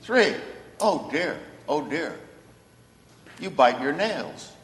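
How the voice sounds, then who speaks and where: A man playing a kindly, unhurried old man who believes he is Santa Claus, in an old-time radio play. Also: fairly high pitch, sing-song. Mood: gently needling. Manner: genial, unhurried, gently probing. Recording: echoey hall stage recording.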